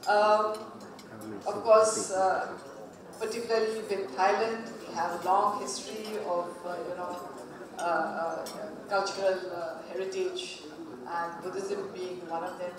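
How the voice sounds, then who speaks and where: A woman from northeast India, giving a formal speech as a state government representative, relaxed and peppered with "you know". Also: mid-range voice, a little slow. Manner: conversational, with "you know" fillers. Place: hotel function suite. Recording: echoey hall, distant mic, crowd noise.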